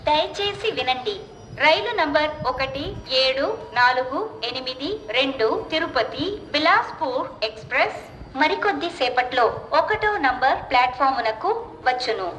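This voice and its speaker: A woman with an Indian accent making formal, measured scripted train announcements in set, pieced-together phrases over a railway station loudspeaker. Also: high-pitched. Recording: echoey station public-address, faint noise.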